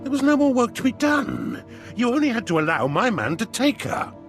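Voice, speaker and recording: An actor playing a scheming, pompous aristocratic duke in a video game, with drawn-out emphasis. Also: male voice, very high-pitched, very animated, soft background music, exaggerated voice. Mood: peeved, haughty. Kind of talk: video game character acting.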